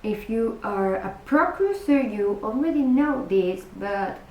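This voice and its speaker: A woman from Buenos Aires, a native Spanish speaker with an Argentine accent, talks viewers through her packing tips in a chatty, roundabout way. Her voice sits a little high and she takes her time, in a room with a noticeable echo. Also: animated, slow, muffled recording. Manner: chatty, restarts and self-corrections, direct address to viewers. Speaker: cruise vlogger. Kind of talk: casual packing-tips vlog.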